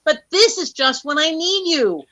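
An actress playing a shrewd, assertive young wife in an old radio comedy, brisk, insistent and skeptical. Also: female voice, high-pitched, sing-song, pushed and bright, dry recording, heavily acted. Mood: shrewd, firm. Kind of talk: 1940s radio comedy acting. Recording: dull old radio recording.

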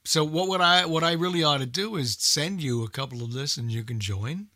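A man's voice: on the high side, a little fast, bright, forceful tone, no room sound, clean studio sound. A man chatting casually in a group conversation on a radio talk show. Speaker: old-time radio show regular and guest.

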